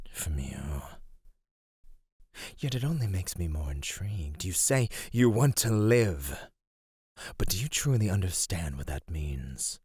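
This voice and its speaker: A menacing serpentine monster toys with its prey in an ASMR roleplay. The voice is very deep and breathy, with lively swoops in pitch. It is theatrical, teasing and full of condescension. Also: male voice, dry recording, studio quality, full character voice. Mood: condescending, intrigued. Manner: slow, deliberate, theatrical, condescending.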